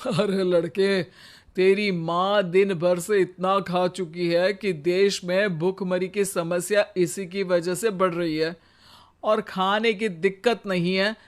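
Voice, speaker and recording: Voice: mid-range voice, natural voice. A performer voicing a teasing old father-in-law in a comic story, needling with dry mockery. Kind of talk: comic moral story dramatization.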